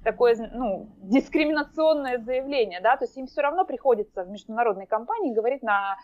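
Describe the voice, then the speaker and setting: A woman's voice: fairly high pitch, lively intonation, fairly quick, muffled recording. A woman guest chatting in an interview, talking hesitantly with many fillers and restarts. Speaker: podcast guest. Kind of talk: casual interview chat. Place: lives in Norway.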